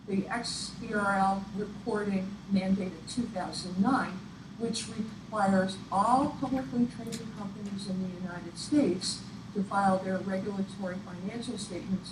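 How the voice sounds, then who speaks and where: A woman presenter giving an instructional presentation in a deliberate, explanatory way. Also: mid-range voice. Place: echoey room, picked up from afar. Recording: echoey, distant room recording with clear noise. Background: clear room noise.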